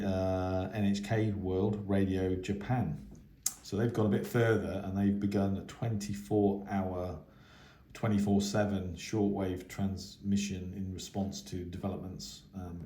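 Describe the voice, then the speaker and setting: A man giving a casual, unscripted radio hobby news update in a hesitant, halting way. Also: sits low, mellow. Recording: echoey, roomy sound.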